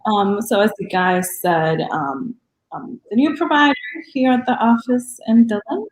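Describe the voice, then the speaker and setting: A woman's voice: on the high side, measured pace. Woman doctor, echoey room. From Dominican Republic. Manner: earnest, many ums. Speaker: physician guest. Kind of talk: podcast interview.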